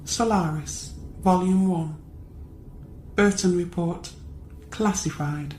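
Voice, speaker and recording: A woman's voice: lowish voice, slow pace, a little mellow, slight background noise, poor audio. A woman voicing a space station computer in an audio drama, formal and impassive, giving clipped announcements.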